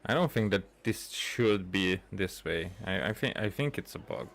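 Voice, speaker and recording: Low-voiced young man commenting on a game. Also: expressive, vocal fry, fairly bright tone. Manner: halting, repeats words. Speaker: let's player streaming a playthrough. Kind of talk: casual game commentary, reading some dialogue aloud.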